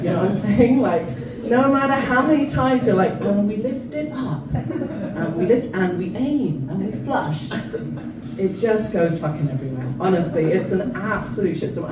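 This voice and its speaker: A woman's voice: mid-range voice, distant mic. A South African-born woman in her thirties doing a stand-up set, chatty and self-mocking, often laughing at her own jokes. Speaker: stand-up comedian. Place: comedy club stage. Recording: echoey room, mic from afar, noisy.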